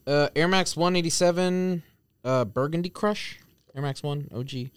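Crisp-voiced man, short and to the point. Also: on the high side, normal speed, no room sound. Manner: terse, short interjections. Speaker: podcast co-host reading out a release list. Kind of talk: casual banter and list reading. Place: podcast studio.

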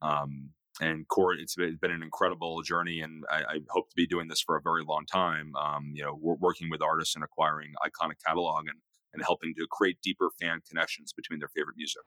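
A man with a very low voice, speaking in a casual interview with many fillers and restarts. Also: little pitch movement, fast talker, bright, forceful tone, dry recording. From New York area. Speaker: guest, music investor.

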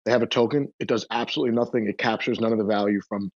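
A man's voice: on the low side, steady tone, rapid-fire, a little mellow, dry recording. A man speaking as a guest in a casual online audio chat, often repeating and stumbling over his words. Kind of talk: casual Twitter space chat. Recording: dull, dry lo-fi mic.

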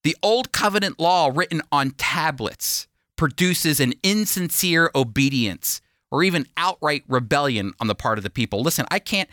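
A man's voice: a little high, expressive, normal speed, sharp tone, no echo, studio quality. A man preaching a sermon and reading scripture, stressing and repeating key phrases and posing rhetorical questions. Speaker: preacher and Bible teacher on a radio program.

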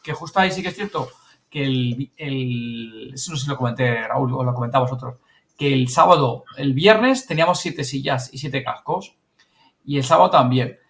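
A man's voice: medium pitch, moderate pace, a bit bright. A man with an accent from Spain chatting casually and colloquially on a podcast. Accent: Spanish from Spain. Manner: colloquial, mildly sweary. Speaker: podcast speaker recounting an event. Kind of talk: casual chat.

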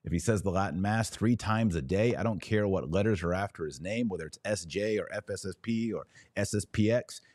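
Casting: male podcast host, very low voice, close dry sound, emphatic religious commentary delivered live. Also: brisk, few pauses, a little mellow, no echo. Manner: emphatic and preachy, with short declarative repeats.

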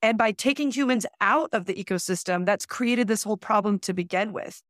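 A woman's voice: mid-range voice, fairly quick, no room sound, studio quality. A woman, a natural resources specialist, explaining fire ecology at a conference panel with plain analogies.

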